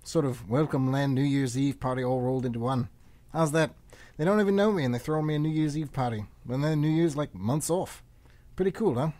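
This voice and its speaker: A man's voice: mid-range voice, brisk, a little mellow, no echo, lightly acted. A man acting an eager, easily wounded traveller in radio theatre, speaking in a colloquial, earnest, plaintive way. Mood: friendly. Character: friendly, wounded, eager Australian traveller Len, newly arrived volunteer teacher. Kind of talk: stage-play dialogue for radio theatre.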